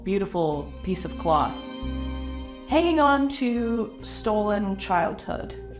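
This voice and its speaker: A woman from Lebanon talks about her paintings in a documentary interview, pausing and restarting as she works through her thoughts. Her voice sits a little on the low side. She is a working artist. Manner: reflective, halting, with frequent restarts.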